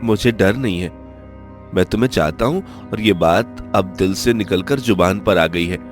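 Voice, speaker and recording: A man voicing a humble, lovestruck young village blacksmith, earnest and halting, in a Hindi cartoon story. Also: low voice, faint music behind, natural voice. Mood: earnest, devoted.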